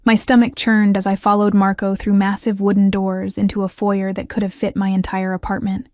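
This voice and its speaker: A woman narrating a first-person romance story in a scripted storytelling style, with an even, subdued delivery. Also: medium pitch, brisk, dry recording, studio quality.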